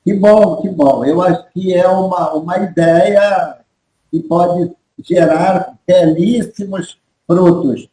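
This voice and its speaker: Male host fumbling through questions, high-ish mellow voice, echoey room. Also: fairly even intonation. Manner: halting, rephrasing questions. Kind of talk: online interview.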